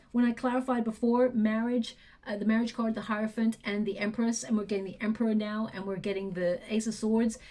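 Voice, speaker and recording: A woman giving a tarot reading, talking softly and reflectively as she thinks aloud, her voice going hoarse and throat clearing. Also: a little high. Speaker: tarot reader.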